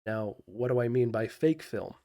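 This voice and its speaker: A man hosting a history video, giving unscripted explanatory commentary in a measured way with pauses mid-thought. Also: medium pitch, expressive, warm tone.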